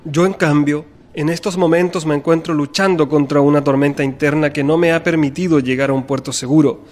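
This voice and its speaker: Man playing earnest suitor, slightly high voice. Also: steady tone, fairly quick, a little mellow, faint music behind, natural voice. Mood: tender, earnest. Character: earnest, tender, conflicted male suitor. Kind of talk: radio soap acting.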